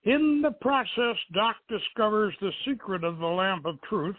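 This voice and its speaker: A man's voice: sits high, expressive, normal speed. A man hosting a radio show, introducing and reading aloud an adventure story, on a muffled narrow-band recording. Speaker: show host and novelist reading own story.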